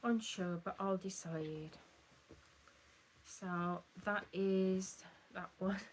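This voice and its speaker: A woman with a slightly croaky voice, chatting in a rambling way about her cross-stitch progress at home. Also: mid-range voice, fairly even intonation, drawn-out words, slight background noise. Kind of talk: casual chat showing craft progress. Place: at home at a table.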